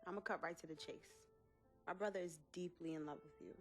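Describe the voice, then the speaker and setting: A woman's voice: lowish voice, brisk, faint music behind, close to an everyday voice. A woman playing a young athlete's blunt, playful twin sister and manager in casual, slangy video game dialogue. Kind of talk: casual conversational acting in a game cutscene.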